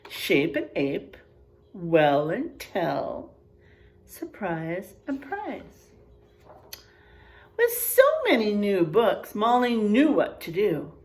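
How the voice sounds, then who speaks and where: A woman teacher reading aloud and chatting to young children in an expressive, encouraging, teacherly way. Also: mid-range voice, drawn-out words, long pauses. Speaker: first grade teacher. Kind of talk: picture book read-aloud and chat to young pupils.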